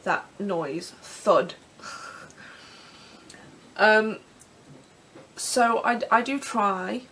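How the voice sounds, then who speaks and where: A young Englishwoman with a croaky throat, rambling casually through vlog questions from her bedroom with plenty of ums and restarts. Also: mid-range voice, very slow, a bit bright. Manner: chatty, self-deprecating, many ums and restarts. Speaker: pagan vlogger. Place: bedroom in front of her altar.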